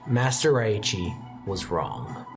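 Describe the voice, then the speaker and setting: A man narrating a tabletop roleplaying game as game master, setting scenes in a measured way and dropping soft for quiet details. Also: fairly low pitch, expressive, over loud music.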